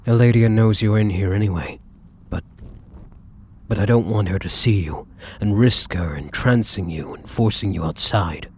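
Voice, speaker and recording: A man playing a devoted, courtly vampire lover in an ASMR roleplay, with a husky, breathy voice and formal, flowery endearments. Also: lowish voice, normal speed, a little mellow, slight background noise, close to an everyday voice. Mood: grave, urgent. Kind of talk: ASMR roleplay, scripted romantic monologue.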